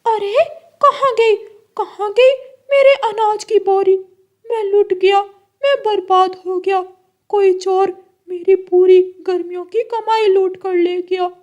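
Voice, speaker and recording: An indignant male parrot from a cartoon fable, acted by a woman. The voice is shrill and pushed far up in pitch. He accuses and exclaims loudly, drawing out his complaints at a measured pace. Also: steady tone, highs cut off. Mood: distraught. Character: loud indignant male parrot, miserly grain owner. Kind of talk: Hindi cartoon story voice acting.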